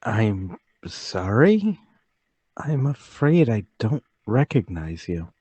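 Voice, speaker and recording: Man acting a slow, low-voiced, meek salesman. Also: sing-song, warm tone, breathy edge, no room sound, muffled recording, full character voice. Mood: uneasy, apologetic. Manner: hesitant, apologetic. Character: meek, troubled, forgetful middle-aged male stocking salesman. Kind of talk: radio play table read.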